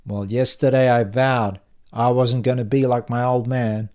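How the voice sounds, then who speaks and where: A man voicing a cocky, matey male office colleague in an audiobook, pushing his pitch with brash slang. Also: steady tone, measured pace, a little mellow, lightly acted. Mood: boastful.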